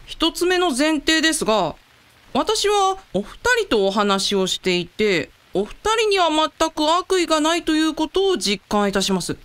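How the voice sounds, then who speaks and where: A woman's voice: sits high, big pitch swings, unhurried, slight background noise. A woman playing a polite, anxious young female research scientist in a radio drama. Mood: earnest, careful.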